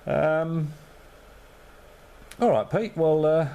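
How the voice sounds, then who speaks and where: A man's voice: fairly high pitch, drawn-out words, vocal fry, warm tone, a little hiss or hum. A man on amateur radio, chatting casually and thinking aloud with lots of fillers. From Australia.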